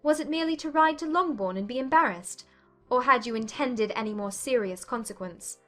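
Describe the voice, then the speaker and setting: A woman playing a witty, spirited young gentlewoman in a dramatized period romance audiobook, with a playful, articulate manner. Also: on the high side, brisk, soft background music. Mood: playful, teasing.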